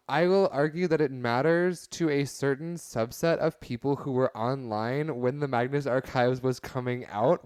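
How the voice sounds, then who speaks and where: A man's voice: medium pitch, clean tone, no room sound. A young man in a casual podcast debate, speaking in a wry, wordy, mock-formal way. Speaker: podcast panelist.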